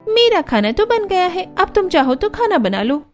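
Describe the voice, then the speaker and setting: A woman's voice: extremely high pitch, sing-song, normal speed, pitched above the performer's own voice. A woman voicing a snide, bossy young sister-in-law in a cartoon story, taunting and condescending. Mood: smug.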